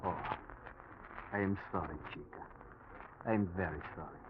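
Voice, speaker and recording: An actor playing a warm, emotional pilot and protective friend, with a Spanish accent and expressive phrasing, in vintage radio drama style. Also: male voice, low voice, moderate pace, lightly acted. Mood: sympathetic. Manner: expressive, gentle, theatrical phrasing. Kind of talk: 1940s radio drama acting. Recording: tinny, hissy old radio recording.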